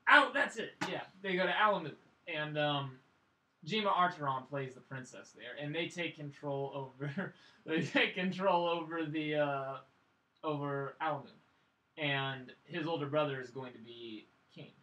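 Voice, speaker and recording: A man co-hosting a casual film review, telling the story in an excitable, rambling way. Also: on the high side, measured pace. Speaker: podcast co-host reviewing films. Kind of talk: casual chat, film recap. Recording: echoey room, distant microphone.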